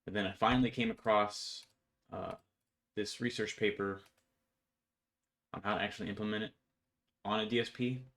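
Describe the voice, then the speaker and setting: A man's voice: fairly low pitch, fairly even intonation, normal speed, pauses often, a bit bright. A young man giving an informal code walkthrough in an offhand, slangy way. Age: young adult. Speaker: programmer presenting his project. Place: indoor room at home.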